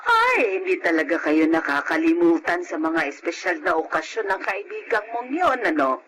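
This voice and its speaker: A woman's voice: lowish voice, huge pitch swings, moderate pace, noisy background. A woman playing an agreeable, fair-minded older mother with a Filipino accent in a radio drama. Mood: curious.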